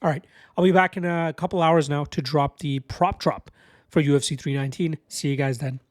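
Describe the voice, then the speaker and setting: A man's voice: on the high side, steady tone. A man giving a casual, confident breakdown of fight betting picks, talking through a stuffy nose from a cold. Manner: brisk, confident, bettor's slang. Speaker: MMA betting analyst.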